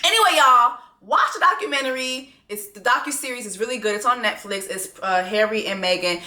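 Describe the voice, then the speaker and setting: A woman rants on her vlog, swearing hard and mocking freely, her voice bright and pushed forward. She sits a little high for a woman. The sound bounces around an echoey room. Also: brisk. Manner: profane, fired-up and mocking. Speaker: vlogger. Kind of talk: ranting commentary on a docuseries.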